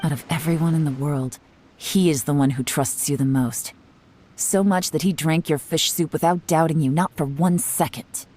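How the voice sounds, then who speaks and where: A woman's voice: sits low, steady tone, normal speed, darkish tone, slight background noise, natural voice. An actress playing a composed, teasing female agent who confronts a suspect coolly. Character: cool, sly female secret agent. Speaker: game character.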